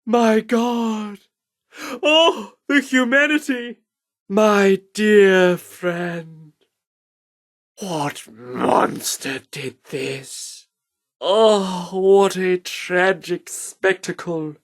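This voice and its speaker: A man's voice: sits high, very slow, fairly bright tone, full character voice. An actor voicing a pompous theatrical man in a video game, declaiming in archaic, mock-Shakespearean bombast. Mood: grief-stricken. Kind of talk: video game character voice lines.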